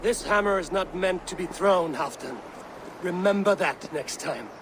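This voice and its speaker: Blunt, higher-voiced Viking warrior. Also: male voice, a little slow, background noise. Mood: resolute. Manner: blunt, firm, terse. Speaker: video game character actor. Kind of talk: dramatic game dialogue. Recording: outdoor game ambience behind the voice.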